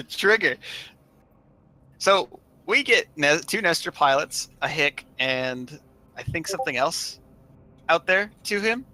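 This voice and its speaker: Bright, forceful sweary guy telling stories. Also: a little high, animated, slow. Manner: sweary and emphatic. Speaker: online gaming group member telling stories. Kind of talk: animated casual storytelling.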